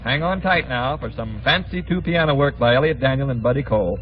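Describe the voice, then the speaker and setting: A man's voice: mid-range voice, a little fast. An American man hosting a 1940s radio variety show with scripted banter. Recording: dull, noisy 1940s radio recording.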